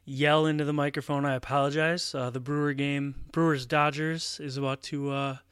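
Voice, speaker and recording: Easygoing male guest writer, smooth voice, flat delivery. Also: on the high side, normal speed, dry recording, studio quality. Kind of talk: casual chat.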